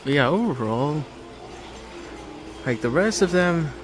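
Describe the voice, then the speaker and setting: A man's voice: a little high, lively intonation, a little slow, background noise. A man giving casual, matter-of-fact walk-through commentary as a hobbyist vlogger inside a large store. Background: background music in a store.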